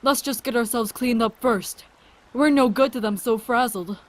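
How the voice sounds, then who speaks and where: A woman's voice: on the high side, moderate pace, lightly acted. A woman playing a friendly, curious doctor in an audio drama, chatty and reassuring. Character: friendly, curious adult doctor and virus researcher.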